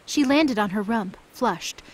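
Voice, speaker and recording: A woman's voice: a little high, expressive, measured pace, poor audio. A woman reading scripted story narration as the narrator of a mythology audio drama. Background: music.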